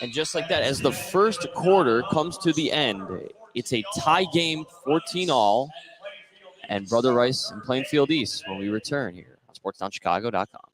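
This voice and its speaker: A man's voice: mid-range voice, expressive, normal speed, crowd noise, low-quality recording. An American man doing live play-by-play basketball commentary on the radio. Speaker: play-by-play announcer. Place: indoor high school gymnasium. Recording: faint gym noise behind. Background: faint gym ambience.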